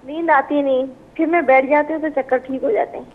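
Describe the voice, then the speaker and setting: A woman's voice: high voice, steady tone, a little fast. A woman in her forties with a Pakistani accent, talking plainly as a caller on a phone line. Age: 40s. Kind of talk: plain conversational phone account. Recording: tinny narrow phone line.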